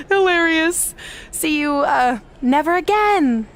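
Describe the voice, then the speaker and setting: An actress in a video game cutscene playing a spiteful, gloating wrestling villainess with a sneering, sarcastic, haughty manner. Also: female voice, very high voice, expressive, takes it slowly, exaggerated voice. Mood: gloating, mocking.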